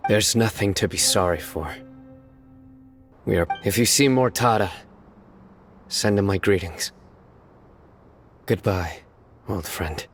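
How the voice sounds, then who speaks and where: Faint music sits under a stoic enforcer of justice. His voice is low and solemn, with the restrained, measured delivery of a serious video game hero. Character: stern, stoic young male enforcer of justice. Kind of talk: dramatic video game dialogue.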